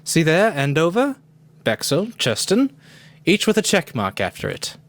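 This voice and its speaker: Man putting on high, hearty British gentleman. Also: wildly swooping pitch, a little slow, no echo, full character voice. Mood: grave, urgent. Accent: put-on British. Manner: theatrical, old-fashioned exclamations. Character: hearty upper-class British gentleman, bluff and excitable. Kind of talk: amateur radio play read-through.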